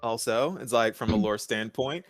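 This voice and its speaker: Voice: medium pitch, moderate pace. A guest co-commentator talking in a casual fan chat. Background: background music.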